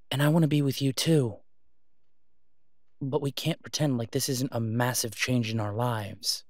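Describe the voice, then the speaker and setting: A man's voice: medium pitch, steady tone, no echo, natural voice. Worried, devoted boyfriend played by a man, dry close sound. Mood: earnest, conciliatory. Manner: halting, earnest, conversational. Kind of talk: intimate audio roleplay drama.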